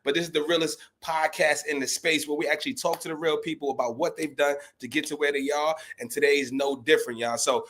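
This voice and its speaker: A man hosting a podcast, hyping up his guest with loose, slangy energy. His voice sits a little high for a man, and he keeps calling out to the listeners. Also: fast talker, few pauses, slight background noise. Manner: energetic, slangy, addresses "y'all". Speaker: podcast host. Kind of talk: casual hype introduction.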